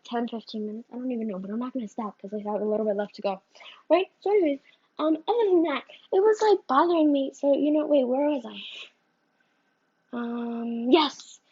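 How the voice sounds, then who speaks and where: A woman's voice: high voice, dark tone, slightly rough. A woman vlogger ranting to the camera in a rambling way, often tacking on "right?" and "you know?", recorded on a phone. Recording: slightly muffled phone recording.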